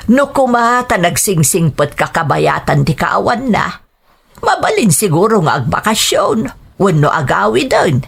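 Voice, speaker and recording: A woman playing a firm older mother who admonishes in measured tones, in an audio drama. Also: mid-range voice, vocal fry, fairly bright tone, slightly rough, full character voice. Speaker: voice actor. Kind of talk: Ilocano audio drama acting.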